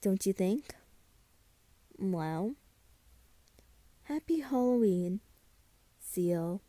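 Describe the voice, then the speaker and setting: A woman playing a self-doubting friend in a scripted roleplay, speaking softly and hesitantly at an unhurried pace. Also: medium pitch, lively intonation, no room sound. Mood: shy, reassured. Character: soft-spoken, self-doubting friend carving Halloween pumpkins.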